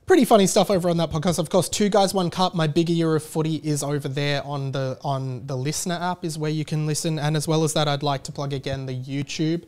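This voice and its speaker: A man's voice: fairly high pitch, fairly even intonation, quick pace, few pauses, a little mellow, smooth. An Australian man hosting a podcast solo, chatting casually in an informal, rambling way. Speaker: podcast host.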